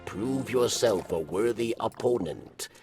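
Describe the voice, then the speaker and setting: A man voicing a grave, weary alien warrior elder in a video game cutscene, wary and portentous, speaking in halting phrases with a gravelly growl. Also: lowish voice, animated, recorded from a distance, music in the background. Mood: stern warning.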